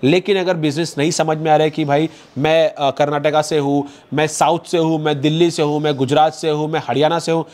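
A man in his thirties hosting a vlog, pitching clothes to viewers in quick, persuasive patter, recorded on a lapel mic in an indoor shop. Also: little pitch movement, a little mellow. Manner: fast, persuasive salesman patter addressing viewers. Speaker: vlogger host. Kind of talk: promotional market vlog.

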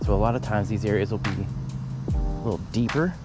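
A man vlogging while fishing outdoors by a canal, talking casually and breaking into excited exclamations. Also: lowish voice, fast, loud music behind the voice. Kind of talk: casual fishing vlog narration.

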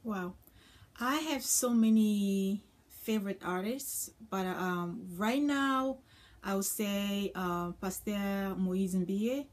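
A woman's voice: mid-range voice, slow. A young woman from Congo, chatting casually to camera with many ums and uhs. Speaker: vlogger. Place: small indoor room with floral curtain.

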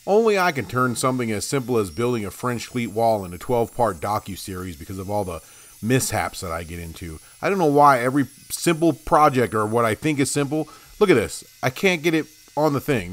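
A man's voice: medium pitch, lively intonation, a little fast. Middle-aged man, mid-range voice, rambling and self-mocking how-to vlogger, clip-on mic in a garage shop. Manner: chatty, rambling and self-deprecating. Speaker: woodworking channel host. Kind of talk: casual how-to vlog talk. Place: small home garage workshop, indoors.

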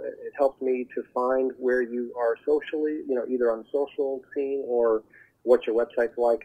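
A man speaking as a talent agent guest, giving advice in an earnest, halting, conversational way over the telephone. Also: mid-range voice, breathy edge. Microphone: telephone call. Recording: muffled narrow phone line.

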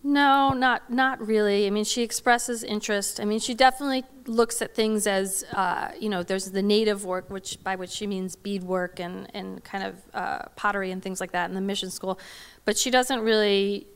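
A middle-aged woman answers questions from a podium in a large hall. Her voice is smooth and clear, with a flat, level pitch. She talks hesitantly, full of fillers and restarts. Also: moderate pace. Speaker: lecturer answering audience questions. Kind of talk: academic Q&A talk. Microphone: podium microphone.

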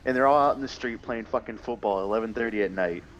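A young man with a low voice rambles through casual gamer chat. He complains and swears freely, over dull, lo-fi voice-chat audio. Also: fast, a little hiss or hum. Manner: profane, griping, rambling. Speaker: gamer telling stories while playing. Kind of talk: casual chat during online gameplay.

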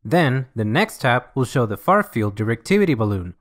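A man reading scripted software tutorial narration in an instructional, measured way. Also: mid-range voice, big pitch swings.

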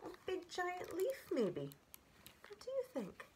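A woman in her forties reading children's poems aloud and chatting between them in a gentle, expressive way, as a storyteller speaking to young children. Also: extremely high pitch, slow, slight background noise. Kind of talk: read-aloud of children's poems with casual commentary. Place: small furnished room at home, indoors.

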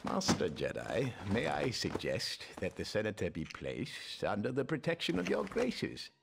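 A man playing a scheming older politician in a video game, with a creaky voice and formal, insinuating manner. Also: lowish voice, huge pitch swings, unhurried, very noisy, full character voice. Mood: suave, insinuating. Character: smooth-tongued scheming older male politician. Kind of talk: video game cutscene acting.

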